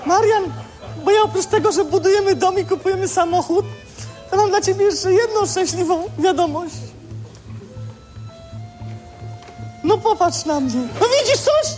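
A woman acts out a relentlessly upbeat wife in a comic radio play. Her very high voice chatters, wheedles and bosses, with faint music behind it. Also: moderate pace, darkish tone. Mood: bossy, nagging. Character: chirpy, nagging wife, relentlessly upbeat, bossy. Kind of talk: comic radio drama acting.

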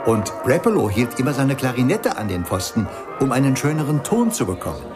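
A man's voice: mid-range voice, very animated, brisk, over music, natural voice. An actor playing a drily amused club jazz musician who tells his memories matter-of-factly in a radio play.

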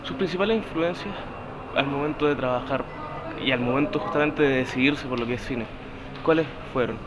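Man, courteous interviewer asking measured questions over street noise. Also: medium pitch. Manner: brief affirmations, formal questions.

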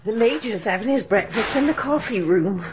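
A woman's voice: fairly high pitch, normal speed, lightly acted. An actress playing a chatty, gossipy woman innkeeper in a radio drama. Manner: gossipy, homely talk. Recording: archive radio drama with steady hiss.

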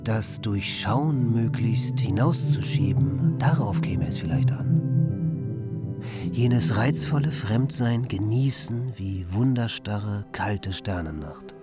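A man performing a reflective, wry commentator in a literary radio play, with measured, pointed phrasing. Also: on the low side, animated, over loud music. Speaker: second narrator.

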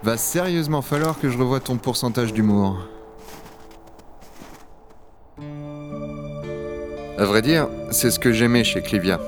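A man's voice: mid-range voice, brisk, music in the background, close to an everyday voice. A man playing a rugged, wry dragon hunter of a frozen future in a science-fiction audio drama. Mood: wry, fond. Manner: gravely reflective narration, familiar offhand banter. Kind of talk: French audio-fiction acting and first-person narration.

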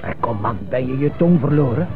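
An actor playing a domineering, mocking intruder in a radio drama, putting curt, taunting questions in a nasty voice. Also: male voice, mid-range voice, a little fast, lightly acted. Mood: demanding. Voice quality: creaky, nasty voice. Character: domineering, mocking intruder, a sinister male interrogator. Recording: muffled phone-like sound, music behind.

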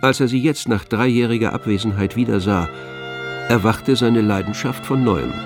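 Man as low-voiced, wry literary narrator. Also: moderate pace, over music, natural voice. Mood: detached. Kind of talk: scripted radio drama narration.

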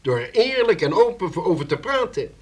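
An actor in a Dutch radio play playing a shrewd, deliberate older relative who poses as a police commissioner, speaking with measured authority. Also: male voice, high-pitched, big pitch swings, a little mellow, slight background noise. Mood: stern, assured.

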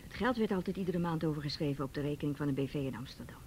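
A woman's voice: low-pitched, racing, a little mellow, a little hiss or hum, natural voice. An actress playing a guarded, weary woman who provides for a younger one, speaking curtly in a naturalistic radio drama. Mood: bitter, resigned. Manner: curt, reserved.

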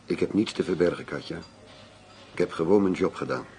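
A man's voice: deep voice, a little fast, darkish tone. A man acting in a radio play as a guarded, world-weary undercover police agent, terse, detached and cynical.

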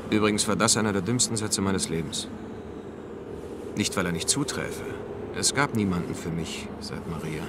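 A man's voice: low voice, normal speed, very noisy, lightly acted. An actor playing a world-weary, lonely spaceman, telling his story in a radio play in a dry, reflective manner. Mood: wry, reflective. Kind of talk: first-person radio play narration.

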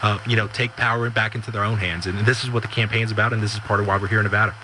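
A man's voice: fairly low pitch, steady tone, rapid-fire, background noise. A male campaign staffer from Southern California, giving fluent talking points in an interview. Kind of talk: on-the-street interview.

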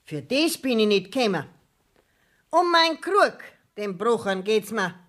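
A middle-aged Bavarian countrywoman in a dialect radio drama. She is obstinate and aggrieved, insisting on her rights in slow, deliberate speech at a medium pitch. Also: big pitch swings, fairly bright tone. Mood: defiant. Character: stubborn, aggrieved peasant mother demanding justice. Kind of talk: dialect radio play acting.

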